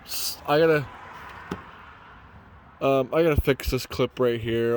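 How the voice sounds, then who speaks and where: A man giving an informal hands-on tutorial inside a vehicle, rambling and thinking aloud as he goes. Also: medium pitch. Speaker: vlogger presenting a truck radio install. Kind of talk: casual hands-on tutorial talk. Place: inside a parked pickup truck cab.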